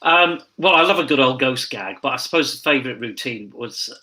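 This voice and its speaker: A man with a bright, forward voice and a middling pitch chats casually as a guest. He tells anecdotes like a stage performer, acting out the quoted lines as he goes. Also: lively intonation, a little fast. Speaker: pantomime dame performer, interview guest.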